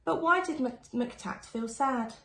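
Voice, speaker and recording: Echoey, high-voiced woman reading a children's story. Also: slow. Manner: sing-song rhyming delivery, animated storytelling. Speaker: primary school teacher reading aloud. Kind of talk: expressive children's picture-book reading. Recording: echoey room with faint background noise.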